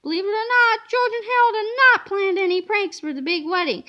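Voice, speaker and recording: A woman reading a children's book aloud as an expressive, emphatic storyteller. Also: extremely high pitch, fairly quick, dull audio.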